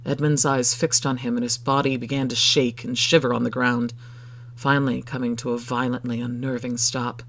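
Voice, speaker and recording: A man's voice: mid-range voice, level tone, moderate pace, a bit bright. A man narrating scripted fiction in a dramatic storytelling style.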